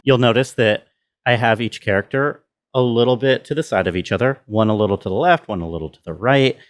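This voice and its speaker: A man giving a casual tutorial walkthrough as a sound designer, thinking aloud in a halting way. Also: mid-range voice.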